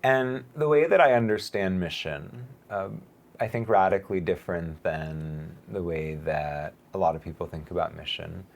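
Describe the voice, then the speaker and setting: A man who is a pastor and missionary, talking earnestly in casual conversation, laughing often and mocking others in high sarcastic voices, with a lingering cough. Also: moderate pace, gravelly.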